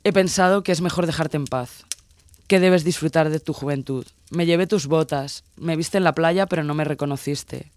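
A man's voice: fairly high pitch, moderate pace, no echo, studio quality, natural voice. A man playing an intense, possessive sailor woman of twenty-six in an audio drama, speaking in an insistent, teasing way. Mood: earnest, intimate. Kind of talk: dramatised audio story dialogue.

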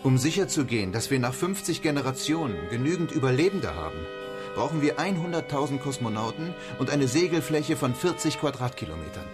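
A man's voice: medium pitch, sing-song, fairly quick, natural voice. Radio play: male space engineer, played by an actor, mid-range voice, matter-of-fact, stubbornly determined, music underneath. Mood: resolute, practical. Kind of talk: German radio play acting.

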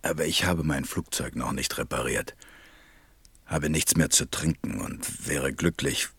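A man playing a grave, weary stranded pilot who narrates a German radio play in a measured, husky, deep voice. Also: steady tone, fairly bright tone, heavily acted. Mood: weary, resigned.

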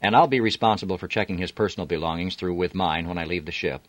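A man reading a novel aloud, voicing a quick-witted woman who talks gently and coolly while playing a part. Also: deep voice, fairly even intonation, no room sound. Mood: wry. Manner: gentle, soothing. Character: woman posing as sister, sly and calm.

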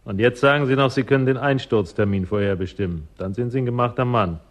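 An actor in a German radio drama playing an inquisitive, calculating property speculator. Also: male voice, mid-range voice, fast, close to an everyday voice. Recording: dull early-1980s radio drama sound.

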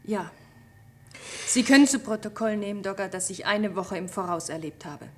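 An actress playing a professor's wife burdened by foreknowledge, measured, solemn and resolute, in a German radio play. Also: female voice, mid-range voice, lightly acted.

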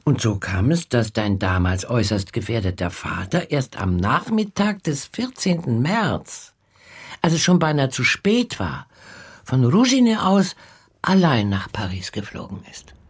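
An older actress playing an elderly former nanny who recalls the past in a reflective, deliberate radio drama monologue. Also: female voice, very deep voice, big pitch swings, breathy edge, heavily acted. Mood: wistful, grave. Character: elderly former nanny, wistfully recalling pre-war Prague.

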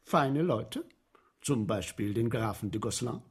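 Eager, sing-song husky sewer guide. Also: male voice, mid-range voice, lively intonation, measured pace. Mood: matter-of-fact. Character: eager, obliging sewer guide proud of his tunnels. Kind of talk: German radio play acting.

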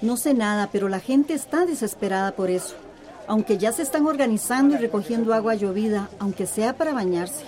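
A woman's voice: fairly high pitch, animated, brisk, darkish tone, natural voice. A woman playing a worried, chatty village neighbour in a radio drama, gossiping and lamenting. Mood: anxious.

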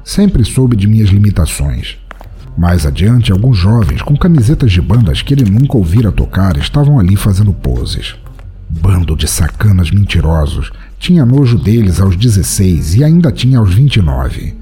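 A man's voice: sits low, sing-song, normal speed, dark tone, faint music behind. A man playing a cynical, world-weary poet of twenty-nine, narrating a brooding, sardonic first-person audiodrama.

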